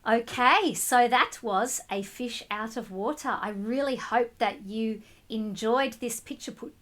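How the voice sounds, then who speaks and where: A woman reading a picture book aloud to children, expressive and speaking to them directly. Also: on the high side, a little slow. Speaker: school storytime reader.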